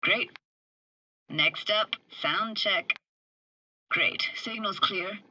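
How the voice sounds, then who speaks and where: A woman's voice: medium pitch, very slow, bright, forceful tone, full character voice, rough recording. A woman voicing a young, streetwise techie in a video game, blunt and guarded with tenderness underneath, speaking in casual, clipped slang. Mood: upbeat, focused. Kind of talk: naturalistic video game voice acting.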